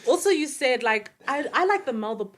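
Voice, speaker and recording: A woman's voice: high voice, expressive, a little fast. A woman with a South African accent co-hosting a podcast in casual conversation. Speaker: podcast co-host. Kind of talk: casual chat.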